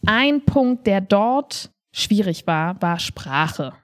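A woman with a medium-pitched voice speaks in a relaxed, casual podcast conversation. She explains her points colloquially, as one of two co-hosts. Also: drawn-out words. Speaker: podcast co-host. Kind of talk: casual podcast chat about children's theatre.

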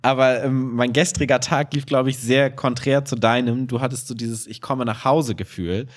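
A man talks as a podcast co-host in a loose, conversational style. He has a medium-pitched voice and a playful, joking manner, like someone at ease explaining things to a colleague. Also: fairly even intonation, moderate pace. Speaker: improv theatre teacher. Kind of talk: casual podcast chat.

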